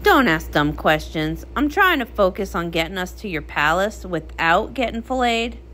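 A woman's voice: medium pitch, very animated, normal speed, a bit bright, a little hiss or hum, pitched below the performer's own voice. A woman voicing a guarded, solemn sea queen with a curt, grave manner in a comic read-along. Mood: guarded, regretful.

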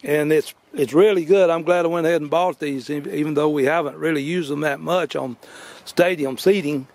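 A man's voice: on the high side, fairly quick, vocal fry, a little mellow, no echo. Folksy older man outdoors. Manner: rambling, folksy, self-correcting. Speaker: vlog host. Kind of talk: casual outdoor demo. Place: outdoors on a grassy yard.

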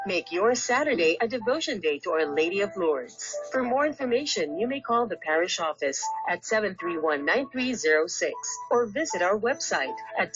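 A woman reading a script as a radio announcer, heard through a radio speaker. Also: medium pitch. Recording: muffled radio speaker re-recorded on camera. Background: music.

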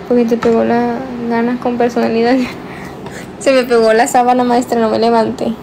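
A woman's voice: fairly high pitch, completely flat delivery, moderate pace, noisy background. A nineteen-year-old woman, chatting casually and teasingly on a phone livestream from her bedroom at night, drawing out some words. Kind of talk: casual livestream chat, reading viewer comments. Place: small dim bedroom, indoors at night. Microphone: phone held close, selfie framing.

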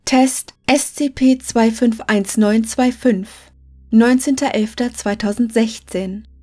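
A woman's voice: on the high side, steady tone, slow pace, darkish tone, soft background music. A woman narrating a scripted fictional case file in a formal, report-like manner.

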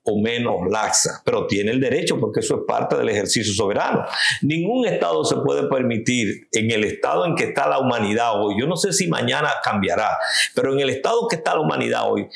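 A man's voice: gravelly, echoey room. A Dominican man in his fifties, speaking Spanish with a Dominican accent as a political guest in a seated interview, arguing emphatically in a living room. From Dominican Republic. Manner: emphatic, gesturing, rising in pitch when stressing points.

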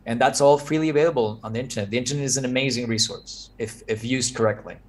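A man's voice: mid-range voice, fast talker. A man in his thirties from Mexico, Spanish his first language, giving earnest advice as a guest on a video call from his home office. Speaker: guest architect. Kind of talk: casual video-call chat. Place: home office with framed pictures.

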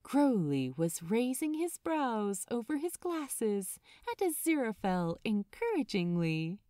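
A woman reading fiction aloud as a narrator, in a dramatic, expressive audiobook style. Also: on the high side, slow pace, no room sound, clean studio sound.

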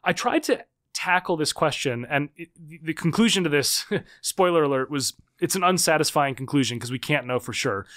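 A man's voice: fairly high pitch, expressive, moderate pace, fairly bright tone. A man in his thirties talking as a podcast guest, thoughtful and hedging, with frequent fillers and restarts. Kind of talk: conversational interview.

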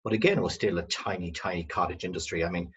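Irish man, middle-aged, husky, fairly low, rambling storyteller, lo-fi video call from home. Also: fast. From west of Ireland. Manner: rambling, self-correcting storyteller. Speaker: company director, guest. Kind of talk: casual video-call interview. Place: home room.

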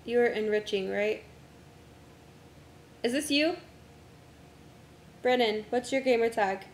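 A young woman streamer chatting casually with friends while setting up a game, her voice going hoarse from a long day of talking. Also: a little high, slow pace, roomy sound, a little hiss or hum. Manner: distracted, talks to herself while navigating menus.

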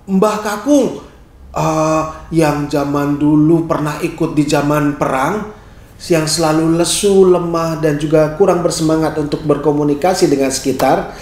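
Middle-aged male presenter, fairly high-pitched, expressive and clearly phrased tutorial delivery, recorded in a reverberant room. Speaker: storyteller and caregiver giving a tutorial. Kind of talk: instructional presentation to camera. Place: small bright living room, indoors, seated on a sofa. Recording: echoey room.

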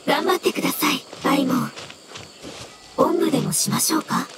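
A woman voicing a gentle, polite elemental creature in a video game, speaking in measured, formal phrases. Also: high voice, noisy background, natural voice. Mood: gentle, caring. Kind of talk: scripted game dialogue.

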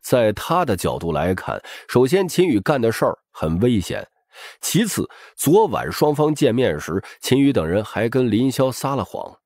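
A man's voice: mid-range voice, sing-song, moderate pace, darkish tone, no echo, studio quality. A man narrating a novel as a dramatic, scripted audiobook.

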